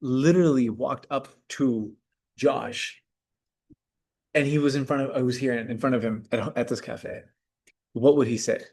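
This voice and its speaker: A man in casual video call chat, judging cold emails, relaxed and full of fillers as he thinks aloud. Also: medium pitch, normal speed. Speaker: judge reviewing cold emails.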